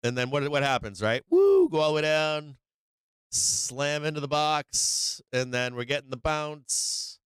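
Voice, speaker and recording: A man's voice: on the high side, very animated, unhurried, clear, resonant tone, no room sound. Rambling casual male market streamer. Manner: rambling, slangy, many fillers and restarts. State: losing his voice. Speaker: live stream market show host.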